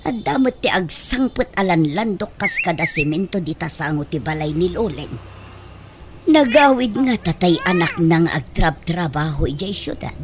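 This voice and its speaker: An actress playing an envious, scheming, gossipy village woman in an Ilocano comedy radio drama, with exclamatory, drawn-out theatrical reactions. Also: fairly low pitch, animated, noisy background, natural voice. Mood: skeptical musing.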